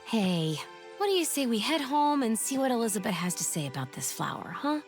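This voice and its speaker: A woman playing a plucky, upbeat young heroine in an animated video game cutscene. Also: fairly high pitch, brisk, faint music behind. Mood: friendly.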